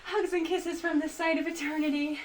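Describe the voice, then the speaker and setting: A woman's voice: high voice, level tone, fairly quick, slight background noise, natural voice. An actress playing a smug, lovestruck young female ghost, syrupy and taunting, in a comedy web series. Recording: distant from the mic.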